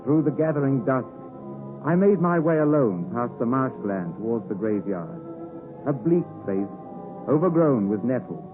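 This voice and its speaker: An actor playing a literate gentleman recalling his boyhood, in dramatic first-person narration for 1950s radio drama. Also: medium pitch, expressive, unhurried, lightly acted. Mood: ominous. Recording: tinny 1950s radio broadcast with music.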